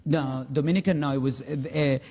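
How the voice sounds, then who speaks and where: A man's voice: a little high, fairly even intonation, brisk. A man at a press conference, hesitant and groping for words. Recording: distant, dull sound in a reverberant hall.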